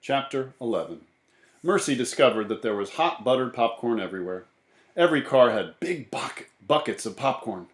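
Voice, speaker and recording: A man reads a children's story aloud in a roomy, echoey space. His mid-range voice moves up and down in lively, animated narration. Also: mid-range voice, moderate pace. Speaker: storybook reader.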